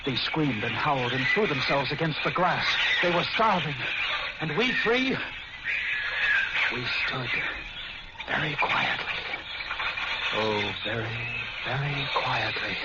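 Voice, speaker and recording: An actor playing a haunted lighthouse keeper who narrates a horror tale intensely and dramatically in old-time radio style. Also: male voice, medium pitch, big pitch swings, slow pace, pauses often. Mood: intense dread. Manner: intense, dramatic, repeats phrases for suspense. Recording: very noisy, muffled vintage radio recording.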